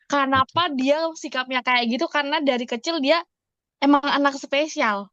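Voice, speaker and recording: A woman's voice: sits high, normal speed, fairly bright tone, no room sound, dull audio. A woman in casual podcast conversation, putting questions and theories forward and switching into English phrases. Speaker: podcast co-host asking questions.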